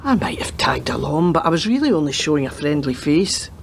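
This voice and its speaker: A woman's voice: low-pitched, big pitch swings, brisk, creaky, slight background noise, heavily acted. A woman playing a pragmatic retired policewoman and grandmother in a radio play, giving matter-of-fact, emphatic advice. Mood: firm, reminiscing.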